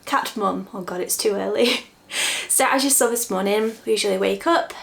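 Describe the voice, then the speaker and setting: A woman's voice: medium pitch, moderate pace, creaky. A young woman vlogging casually about her day with her cats, a little sniffly from a cold and laughing often. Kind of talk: casual vlog narration.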